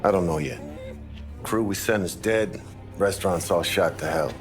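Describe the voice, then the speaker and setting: A man's voice: deep voice, moderate pace, lightly acted. A man playing a deferential mob underling. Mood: tense. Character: loyal male mob underling reporting to boss. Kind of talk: video game cutscene acting. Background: background music.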